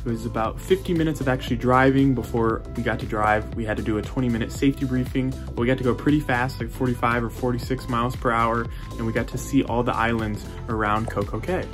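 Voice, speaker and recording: A man's voice: mid-range voice, fairly even intonation, fast talker, few pauses, over music. A man in his twenties narrating a travel vlog in a casual way. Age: 20s. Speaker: travel vlogger.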